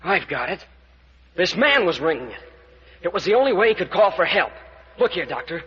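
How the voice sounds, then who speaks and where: A man playing an excitable young adventurer with an urgent, earnest, clipped delivery in an old-time radio serial. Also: sits high, brisk, slightly rough, heavily acted. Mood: earnest, explaining. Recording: muffled, noisy old radio recording.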